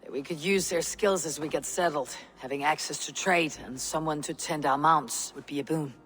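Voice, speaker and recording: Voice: mid-range voice, moderate pace, fairly bright tone, soft background music, close to an everyday voice. A performer playing a thoughtful, loyal Viking warrior with a poetic streak in a dramatic video game. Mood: thoughtful, hopeful.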